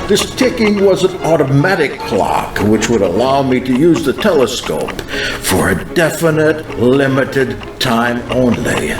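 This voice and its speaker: A man playing a fervent American healing evangelist, solemnly and deliberately recounting a prophetic vision with dramatic emphasis. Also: mid-range voice. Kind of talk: dramatized first-person testimony, scripted narration. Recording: roomy echo with music underneath.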